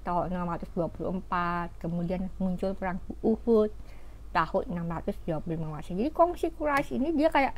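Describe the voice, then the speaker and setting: A woman's voice: lowish voice, a little fast. Woman telling history in informal speech. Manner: conversational, explanatory, with rhetorical asides. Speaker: history storyteller. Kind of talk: informal spoken history lecture.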